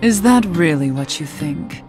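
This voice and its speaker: A low, unhurried, somber game witch. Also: expressive, over music. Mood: probing, composed. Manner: measured, earnest, questioning. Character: somber cursed witch, adult woman, gently wry. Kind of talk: video game cutscene acting.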